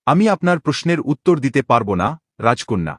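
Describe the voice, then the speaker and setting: A man plays a young prince in disguise in a cartoon drama, with a mid-pitched voice in a dry recording. He speaks gravely and formally, respectful yet weighed down. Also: big pitch swings, brisk, no echo. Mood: respectful, reluctant. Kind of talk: dramatic Bengali animated story dialogue.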